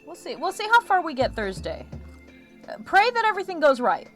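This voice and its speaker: A woman's voice: extremely high pitch, wildly swooping pitch, a little fast. Chatty woman streamer over background music. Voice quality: slight lisp from a missing molar. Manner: rambling, chatty, self-interrupting. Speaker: streamer hosting an art livestream. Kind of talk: casual livestream chat. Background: lo-fi music track.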